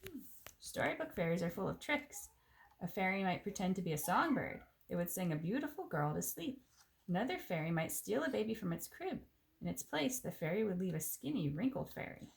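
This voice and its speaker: Woman doing a picture book read-aloud, husky, very animated intonation, chatty little asides. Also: mid-range voice, fairly quick, low-quality recording.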